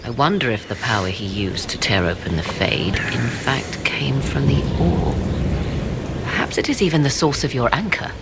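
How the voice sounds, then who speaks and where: Creaky-voiced, proud sorceress. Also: female voice, animated, very noisy, heavily acted. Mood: measured. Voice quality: low, creaky. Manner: formal, archaic, measured phrasing. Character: mysterious, proud sorceress and scholar of the arcane. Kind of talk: video game dialogue acting.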